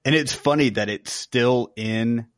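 A man's voice: mid-range voice, a little slow, dry recording, studio quality. A man co-hosting a podcast in casual conversation. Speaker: podcast co-host. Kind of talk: casual chat.

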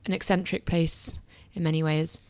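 A woman's voice: deep voice, flat delivery, darkish tone. Woman hosting a discussion podcast. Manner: measured, reads scripted introductions. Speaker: podcast host.

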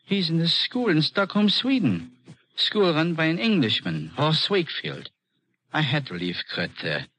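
Pleading professor, worried father, husky, animated intonation, formal, halting, old radio drama. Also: a little high, full character voice. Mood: anxious, sad. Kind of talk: 1950s radio drama acting.

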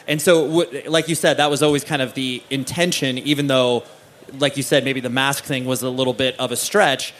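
A man's voice: medium pitch, fairly even intonation, fairly quick, fairly bright tone. A man hosting an interview, asking questions in a meandering way with many "uh"s and restarts. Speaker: podcast host. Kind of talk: casual interview chat.

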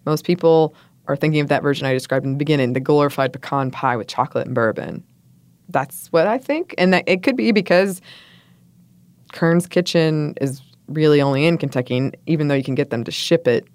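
A woman co-hosts a food podcast with a low, unhurried voice. She talks in a relaxed, chatty way, as if to a longtime friend. The sound is dry and close to the mic. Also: dry recording. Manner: relaxed, chatty, reads listener mail aloud. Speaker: podcast co-host. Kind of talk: casual chat.

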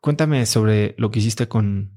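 A man's voice: lowish voice, unhurried, warm tone. A man interviewing a guest, asking curious, probing questions.

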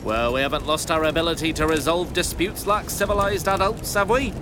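An actor playing a genial but scheming small-town mayor in an audio drama, folksy, pompous and placating. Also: male voice, fairly high pitch, a little fast, music in the background, close to an everyday voice. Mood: placating, officious. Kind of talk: audio fiction drama acting.

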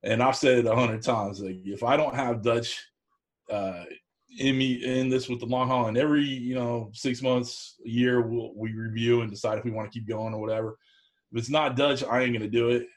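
A man's voice: mid-range voice, steady tone, normal speed. A man in a casual conversation, talking in a rambling, folksy and plain-spoken way as a show host. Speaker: co-host of an online cooking show, interview guest.

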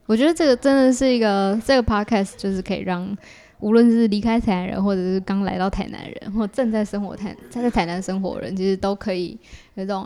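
A woman with a Taiwanese Mandarin accent explaining things casually as a podcast guest, with quick repeated words of agreement. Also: medium pitch, mellow. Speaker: podcast guest, creator of audio works.

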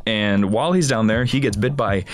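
A man's voice: fairly low pitch, normal speed. A man hosting a podcast, chatting informally and with amusement through a casual film recap. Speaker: podcast host.

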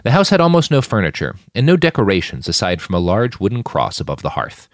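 Guy narrating a novel, kinda low voice, super varied pitch, no room sound. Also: wildly swooping pitch, fast talker, no room sound, clean studio sound. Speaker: audiobook narrator. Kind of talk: scripted fiction narration.

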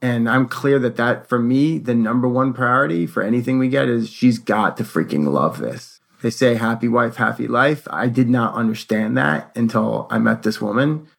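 Gravelly man telling a casual personal story. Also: medium pitch, moderate pace, hardly any pauses. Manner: animated retelling with acted-out quotes.

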